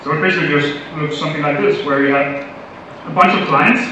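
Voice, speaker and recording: A man's voice: a little high, steady tone, fast talker, sharp tone. Man from Denmark speaking in an echoey hall. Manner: casual, playful, many fillers. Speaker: conference speaker. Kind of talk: tech conference talk. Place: large conference hall. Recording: echoey hall from far, dull lo-fi audio, noisy.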